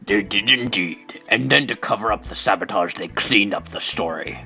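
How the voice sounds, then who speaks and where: Man acting low, gravelly, pompous space center director. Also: moderate pace, sharp tone, full character voice. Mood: resigned. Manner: theatrical, declamatory. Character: pompous older male space center director, secretive and rattled. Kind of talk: video game fandub acting. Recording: amateur recording with music.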